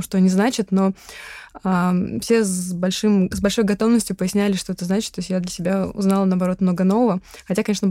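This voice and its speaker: A woman's voice: mid-range voice, mellow, dry recording, studio quality. A woman giving an articulate, composed interview answer about her internship. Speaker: scholarship holder, intern.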